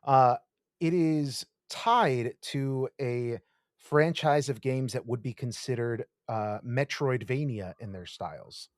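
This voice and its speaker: A man's voice: medium pitch, takes it slowly, dry recording, clean studio sound. A man co-hosting a casual podcast, running a quiz and giving hesitant clues full of ums and uhs. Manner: playful, gives hesitant clues full of fillers. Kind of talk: casual chat.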